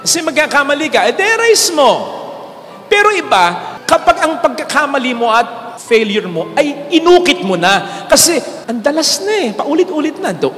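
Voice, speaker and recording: Filipino priest, handheld mic, high voice, church reverb. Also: male voice, normal speed. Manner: animated preaching, switching between Tagalog and English. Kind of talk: homily. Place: large church sanctuary, indoors. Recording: hall reverb with faint music.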